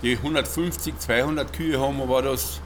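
Halting farmer, male. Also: mid-range voice, steady tone, slight background noise. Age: middle-aged or older. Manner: halting, repeats words while searching. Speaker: dairy farmer, interviewee. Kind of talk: unscripted interview answers.

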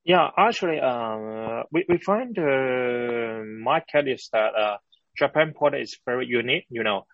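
A man from Hong Kong with a Hong Kong accent, answering interview questions as a startup founder in halting English with frequent pauses. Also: mid-range voice, lively intonation, no room sound, highs cut off.

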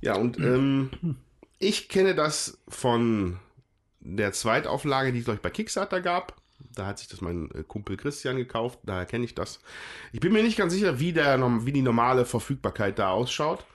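A man's voice: mid-range voice, very animated, normal speed. A man with a stuffy nose from a cold, co-hosting a casual chat about board games and rambling with frequent self-corrections. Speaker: podcast co-host.

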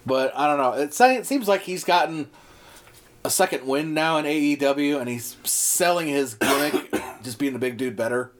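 A man's voice: on the high side, moderate pace, a little hiss or hum. A man co-hosting a casual podcast, rambling with lots of fillers and restarts, his voice starting to wear out. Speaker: podcast co-host. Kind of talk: casual chat.

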